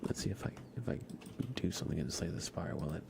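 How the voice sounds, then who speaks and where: A man hosting a casual ASMR livestream, speaking in a soft, hushed, whispery murmur close to the microphone. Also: very deep voice, quick pace, vocal fry, noisy background.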